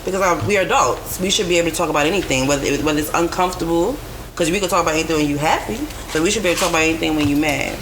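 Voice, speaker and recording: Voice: medium pitch, rapid-fire. A co-host in a casual group chat, speaking emphatically about communication. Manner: talks about expressing feelings openly, emphatic. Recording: noisy room.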